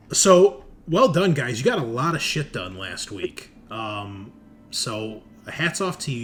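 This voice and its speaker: A man's voice: mid-range voice, fairly bright tone. A man running a tabletop game as game master, narrating off the cuff in an informal, casually sweary way. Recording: clearly noisy room recording.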